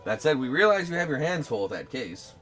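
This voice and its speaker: A man's voice: medium pitch, very animated, brisk, fairly bright tone. A man hosting a game playthrough, reading dialogue aloud and adding his own commentary. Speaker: let's play host. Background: game music.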